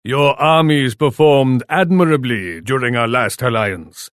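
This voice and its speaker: An actor playing a proud, imperious Japanese warlord in a video game, speaking formally. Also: male voice, mid-range voice, a little slow, clear, resonant tone, no room sound, studio quality, close to an everyday voice. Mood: menacing, scornful. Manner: imperious, formal, speaks of himself in third person. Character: proud, haughty Japanese warlord commanding his armies. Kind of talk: video game character voice lines.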